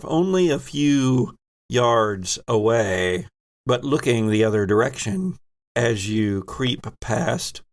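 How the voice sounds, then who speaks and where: A man acting as game master, narrating and running a tabletop horror role-playing game. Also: mid-range voice.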